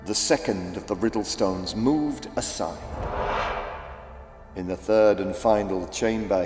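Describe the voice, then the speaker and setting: A man narrating a children's audiobook as dramatic, deliberate, theatrical storytelling. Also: fairly low pitch, darkish tone. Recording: echoey reverb with faint music.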